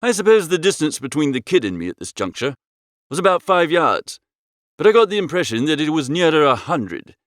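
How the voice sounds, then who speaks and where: A man narrating a comic first-person literary story as an audiobook, in a droll, expressive storytelling manner. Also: a little high, fairly quick, no echo, studio quality.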